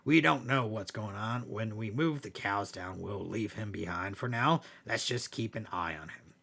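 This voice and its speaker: A man's voice: on the low side, normal speed, gravelly, pushed and bright, exaggerated voice. A man voicing a bored, spiteful cowherd from a horror storybook, with emphatic delivery. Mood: alarmed, disbelieving.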